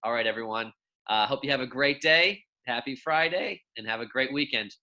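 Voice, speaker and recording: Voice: mid-range voice, animated, moderate pace, sharp tone. A teacher giving a read-aloud lesson for young children in a friendly, informal, encouraging way. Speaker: elementary school teacher.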